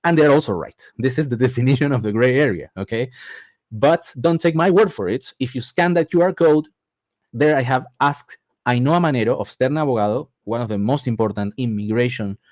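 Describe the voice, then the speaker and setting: Argentine guy running a webinar, mid pitch, dead dry mic, keeps saying okay?. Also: fairly quick, darkish tone, dry recording. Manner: repeats words for emphasis, frequent "okay?" check-ins. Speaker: immigration advisor presenting a webinar. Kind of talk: explanatory webinar presentation.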